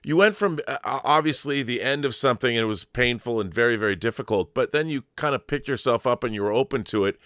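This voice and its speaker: A man's voice: medium pitch, fast, hardly any pauses, fairly bright tone, no echo, studio quality. A man hosting a casual interview, speaking informally and circling back over his words as he frames questions. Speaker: podcast host.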